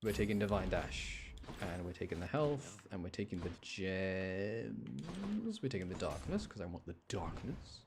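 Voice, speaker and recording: A man's voice: deep voice, very animated, unhurried, vocal fry, few pauses, background noise. A man live streaming a video game, chatting casually with viewers as he commentates on his play. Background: music.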